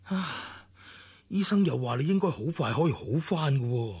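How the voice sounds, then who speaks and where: A man's voice: a little high, expressive, moderate pace, darkish tone, heavily acted. A man with a Hong Kong Cantonese accent, playing a worried, middle-aged company director who sighs and pleads in a radio drama. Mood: urgent, pleading. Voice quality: husky, sighing.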